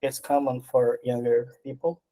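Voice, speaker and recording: A man's voice: medium pitch, flat delivery, moderate pace, mellow, low-quality recording. A man asking a guest a plain, direct question on a video call.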